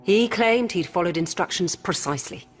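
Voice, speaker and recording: A woman playing a calm, rational robot hybrid in human guise, speaking in measured, formal, didactic lines in a radio drama. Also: lowish voice, lightly acted. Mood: matter-of-fact. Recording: music behind.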